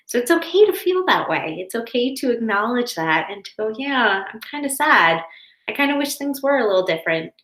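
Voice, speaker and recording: Gentle woman in an echoey room, slightly high voice. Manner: gentle, reassuring, simple explanations for children. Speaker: school educator reading stories to children online. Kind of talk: warm, chatty address to young children. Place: home office indoors. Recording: roomy, echoey home office.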